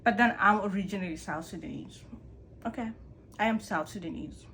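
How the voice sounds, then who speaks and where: A woman's voice: fairly quick, a little hiss or hum. A woman vlogger from Kenya, talking candidly about letting go of control in a conversational personal monologue. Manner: candid, conversational, animated emphasis with pitch jumps. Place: Canada.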